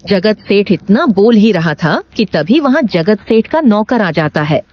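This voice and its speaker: A woman's voice: mid-range voice, animated, a little slow. Female story narrator, slightly muffled sound. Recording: dull lo-fi sound, faint music bed.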